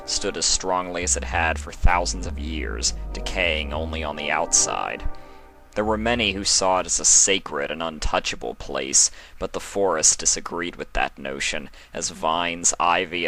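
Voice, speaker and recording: A man reading fan fiction aloud as narrator, in an expressive storytelling style. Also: rumbling low.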